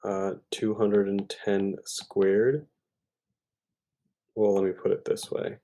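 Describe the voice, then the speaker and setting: Low, gravelly male math tutor. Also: flat delivery, slow pace, dark tone. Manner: informal, thinking aloud with hesitations and self-corrections. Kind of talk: step-by-step problem walkthrough.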